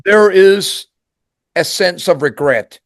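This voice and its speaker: A man's voice: sits high, lively intonation, no echo. Danish-accented older man, dry sound. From Denmark. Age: 60s. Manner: halting, repeats words, searches for phrasing. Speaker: interview guest, singer. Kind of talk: reflective conversational interview.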